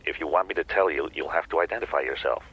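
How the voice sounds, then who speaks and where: A guarded private detective in a radio drama, with an extremely low, deep voice. He answers tersely and cautiously, giving little away. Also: quick pace, husky, lo-fi sound, exaggerated voice. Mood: guarded, suspicious. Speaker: actor.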